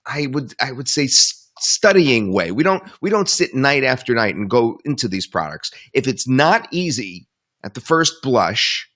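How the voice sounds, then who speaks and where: A man hosting an online webinar, talking up a product in an enthusiastic, rambling sales pitch. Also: fairly bright tone. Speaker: webinar host. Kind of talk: promotional webinar talk.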